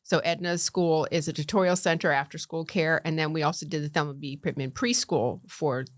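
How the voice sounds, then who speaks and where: A woman's voice: low-pitched, fairly even intonation, a little fast, no echo, studio quality. A middle-aged woman from Connecticut, a county commissioner chatting easily as a podcast guest, laughing often. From Connecticut, USA. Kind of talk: casual interview chat.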